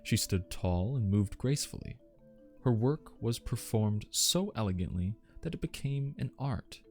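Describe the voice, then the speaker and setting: A man playing a genteel, devoted butler, telling of household staff in a measured, formal, gentle ASMR audiobook manner. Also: lowish voice, lively intonation, soft background music. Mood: wistful, quietly indignant.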